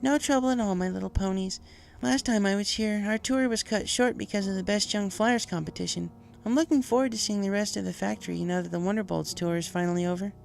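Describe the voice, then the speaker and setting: Narrator doing a gracious bookish young pony lady, raised pitch, storybook. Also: fast, creaky, soft background music, lightly acted. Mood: pleasant, gracious. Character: studious, gracious young mare chaperone. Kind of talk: storybook character reading.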